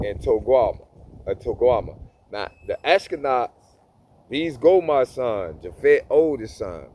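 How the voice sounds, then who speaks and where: Man teaching from scripture, loose preaching, mid pitch, frequent restarts. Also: darkish tone. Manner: casual preaching, restarts and repeats words. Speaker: Bible teacher. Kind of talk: informal Bible reading and commentary.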